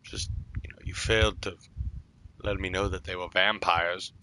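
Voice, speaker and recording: A man roleplaying live while playing a video game, halting and thinking aloud as he goes. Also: sits low, steady tone, bright, forceful tone, slight background noise, poor audio. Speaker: streamer roleplaying a game character. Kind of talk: live in-character gameplay roleplay.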